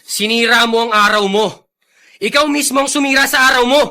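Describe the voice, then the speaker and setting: Indignant, very high-pitched young friend. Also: male voice, fairly quick, sharp tone, exaggerated voice. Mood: firm. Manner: emphatic, reproachful, moralizing. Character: indignant, principled young man defending his friend's father. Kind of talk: Filipino radio drama acting.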